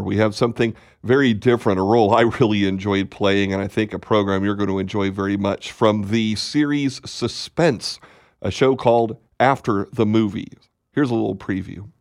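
A man's voice: lowish voice, a little mellow. A man hosting and narrating a radio theater show, reading scripted announcements. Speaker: host and narrator.

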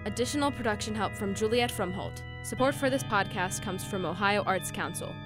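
A woman's voice: medium pitch, brisk. Female announcer over guitar music. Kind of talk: scripted credits announcement.

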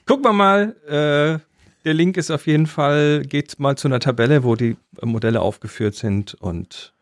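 A man's voice: fairly high pitch, moderate pace, dry recording, clean studio sound. A man co-hosting a casual tech podcast, playful and teasing. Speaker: podcast co-host. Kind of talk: casual chat.

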